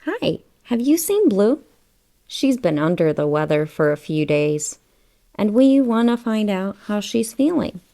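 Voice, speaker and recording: Slow-reading woman, softly mellow storybook narrator. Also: mid-range voice, sing-song. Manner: addresses young listeners with questions. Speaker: children's book read-aloud narrator. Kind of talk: scripted storybook read-aloud.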